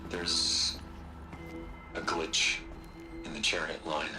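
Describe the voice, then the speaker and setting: A man's voice: rumbling low, flat delivery, takes it slowly. An actor playing an evasive, ingratiating male corporate executive under pressure in a video game drama. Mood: uneasy. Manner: hesitant, smoothing things over. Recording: loud music under the dialogue. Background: loud music.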